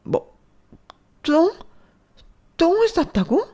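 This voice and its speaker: A woman voicing a furious, controlling middle-aged mother-in-law who yells and scolds harshly in a spoken story. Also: medium pitch, big pitch swings, normal speed, voice pushed up.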